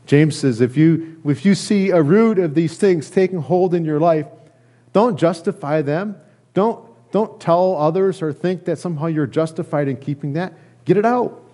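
A middle-aged American man giving a sermon as a pastor, speaking earnestly and haltingly, often repeating phrases. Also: a little high, dark tone. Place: church sanctuary, indoors at a lectern.

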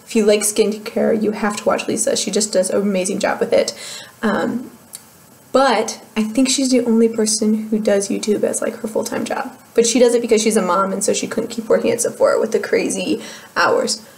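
A woman's voice: medium pitch, normal speed, gravelly, darkish tone. A young woman with an American Midwest accent chatting casually in a beauty vlog, rambling and laughing at herself, recorded on a webcam in a small room at home. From Midwest United States. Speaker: beauty vlogger. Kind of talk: casual chatty product update.

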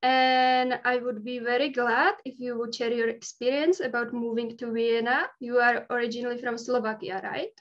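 A woman's voice: on the high side, fairly even intonation, moderate pace, hardly any pauses, highs cut off. A Czech woman interviewing a guest over a video call, speaking English as a second language, enthusiastic. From Czech Republic. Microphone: pink headphones on video call.

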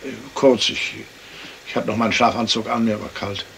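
An actor playing a polite, cooperative gentleman in a 1970s German radio crime drama, measured and somewhat hesitant. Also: on the low side, little pitch movement, creaky, slight background noise. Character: cooperative gentleman witness, member of a yacht party.

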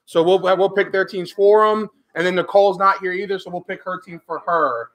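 A man's voice: high voice, steady tone, fairly quick, fairly bright tone, poor audio. A man hosting a card break with friends around a table, casually calling out names and joking. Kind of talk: casual group chat.

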